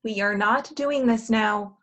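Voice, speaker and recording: Actress as bossy, high-voiced female matchmaker. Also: a bit bright. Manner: brisk, sardonic, directive. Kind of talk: virtual table read of a comedy play.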